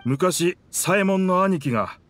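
Proud pirate warship spirit, game character, theatrical, wistful, very animated pitch, music playing behind. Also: male voice, takes it slowly, no echo, exaggerated voice. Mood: reflective, wistful. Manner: theatrical, rugged old-fashioned masculine speech.

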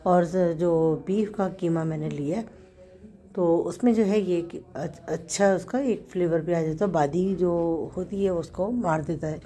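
A woman with a Pakistani Urdu accent and a hoarse, sore throat, chatting through a home recipe step by step and correcting herself as she goes. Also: fairly low pitch, measured pace, dark tone. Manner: chatty, explains step by step with small self-corrections. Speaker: home cook host. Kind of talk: casual cooking narration.

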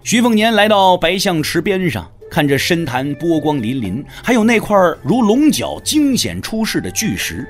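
Male narrator for martial-arts drama; lively intonation, slightly high voice, scripted storytelling. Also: moderate pace, hardly any pauses, faint music behind.

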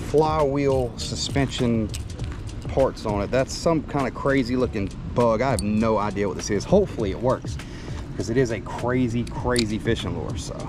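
A man talks straight to his action camera while he rigs lures at a lakeside. He stays mid-pitched and excitable, breaking into quick bursts of shouting. Music plays clearly underneath him. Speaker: fishing vlogger. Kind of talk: casual outdoor chat to camera. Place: outdoors by a lake.

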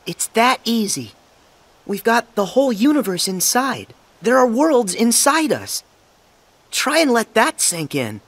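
Eager, lively teenage boy hero. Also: extremely high pitch, measured pace, heavily acted. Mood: earnest, upbeat. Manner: earnest, eager, boyish. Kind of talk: English video game voice acting.

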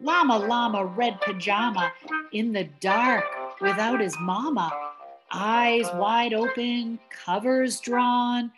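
A middle-aged to older woman reading a children's picture book aloud as a grandmother, expressively, with drawn-out emphasis on key words. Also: on the high side, poor audio. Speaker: grandmother reading a bedtime story. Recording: music playing behind the voice. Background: music.

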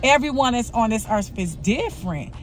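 A woman's voice: fairly high pitch, big pitch swings, normal speed, faint music behind. Earnest confessional woman vlogging. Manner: earnest, confessional, repeats herself. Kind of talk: candid personal vlog monologue.

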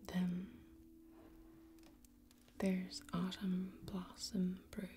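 A young woman playing a soothing, inviting fantasy tavern keeper in a soft, breathy whisper, with deliberate, lingering phrasing, as ASMR roleplay. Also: mid-range voice. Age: young adult.

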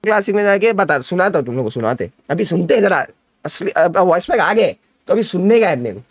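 Young Indian man, high creaky voice, gaming streamer, casual excited chat, repetitive slang. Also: fast talker, dry recording, studio quality. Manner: repetitive, animated slangy hype. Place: indoor streaming setup at a desk.

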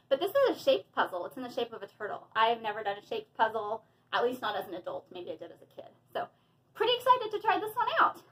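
A woman in her thirties chatting casually and excitedly while unboxing things in a small room at home, with frequent fillers. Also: sits high, a little hiss or hum, low-quality recording. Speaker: hobby vlogger.

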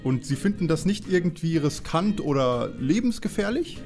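An actor playing a naive, easily worried young detective in a parody audio play. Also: male voice, on the high side, lively intonation, normal speed, a little mellow, faint music behind. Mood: naive, uneasy.

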